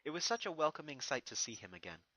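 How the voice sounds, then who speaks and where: A narrator reading a scripted fan fiction story in an expressive storytelling manner. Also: medium pitch, fast, dry recording, rough recording.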